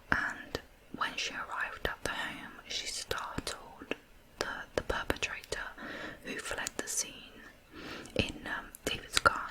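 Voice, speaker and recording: A person narrating true crime in ASMR style, whispering softly with deliberate, unhurried phrasing. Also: poor audio.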